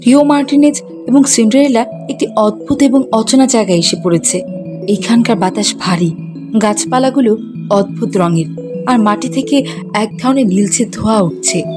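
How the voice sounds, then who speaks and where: A woman's voice: fairly high pitch, moderate pace. A woman reading scripted fairy-tale narration as the storyteller. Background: faint music.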